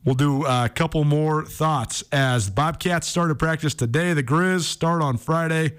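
A man's voice: a little high, moderate pace, fairly bright tone, smooth. An American man hosting a sports talk radio show, commenting casually and thinking aloud as he goes.

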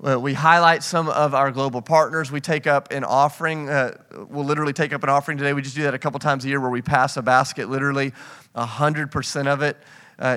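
A man talks in a casual, earnest way to a gathered congregation. He has a medium-pitched voice and the effusive manner of a pastor hosting guests on stage. He often doubles back on a phrase to press it home. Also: fairly bright tone, clear, resonant tone. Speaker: church pastor hosting an interview.

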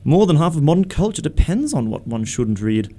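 Man playing a witty, flippant young English gentleman, lively pitch. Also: a little fast. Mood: teasing, arch. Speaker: amateur radio theatre actor. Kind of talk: radio play acting of a Victorian comedy of manners.

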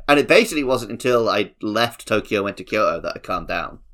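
A man's voice: on the low side, lively intonation, moderate pace, a bit bright. A man with an English accent co-hosting a podcast, telling stories in a casual, conversational way. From English countryside. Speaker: podcast co-host.

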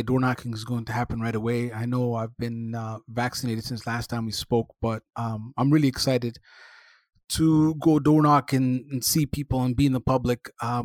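A man's voice: mid-range voice, a little mellow, dry recording, clean studio sound. A middle-aged man from Somalia, co-hosting a casual podcast in a friendly, easygoing, reflective way. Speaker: podcast co-host.

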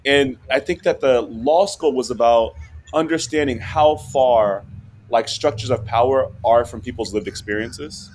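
A law professor guest talking casually and animatedly in an interview, often laughing and checking in with "right?". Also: male voice, medium pitch, unhurried, a little mellow, a little hiss or hum.

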